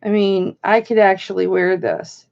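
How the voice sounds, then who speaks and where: Slightly hoarse gravelly lady doing a chatty live auction, slow and kinda high, muffled stream sound. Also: steady tone, darkish tone. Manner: chatty, greets viewers by name.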